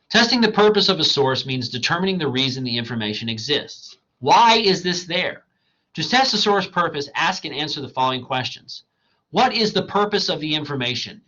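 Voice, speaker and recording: Male instructor, casual slideshow lecture, bright tone, slightly hoarse, muffled computer-mic sound. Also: on the high side. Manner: conversational and digressive, asks "right?" often. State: losing his voice. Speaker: college writing instructor.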